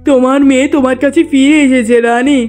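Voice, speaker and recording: A woman's voice: high voice, steady tone, fairly quick, a little mellow, close to an everyday voice. A woman voicing an emotional, loving old king in a cartoon fairy tale. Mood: overjoyed, tearful.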